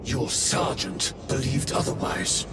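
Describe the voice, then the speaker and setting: A man's voice: medium pitch, takes it slowly, lightly acted. Stern accusing warrior chaplain, acted by a man. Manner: formal, severe. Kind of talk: sci-fi video game cutscene acting. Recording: loud music over dialogue.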